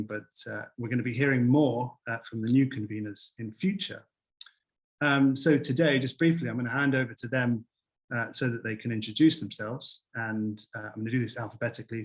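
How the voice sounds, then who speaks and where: Relaxed man hosting an online seminar. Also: medium pitch. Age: young adult. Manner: relaxed, with many fillers. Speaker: seminar host. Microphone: video call.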